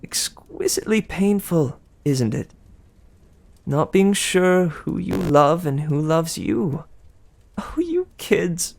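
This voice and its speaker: A man's voice: fairly high pitch, takes it slowly, few pauses, voice pushed up. A man voicing a vain, doting goddess of love who sighs and talks breathily. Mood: swooning. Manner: breathy gushing, sighing.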